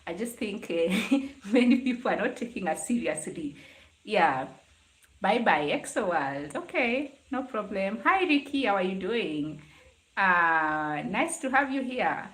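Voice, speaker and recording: A woman's voice: mid-range voice, very animated, measured pace. A young adult woman with a Kenyan accent hosts a casual live chat, talking conversationally to her viewers. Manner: conversational, greeting and answering commenters. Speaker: livestream host. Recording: roomy, echoing live stream sound.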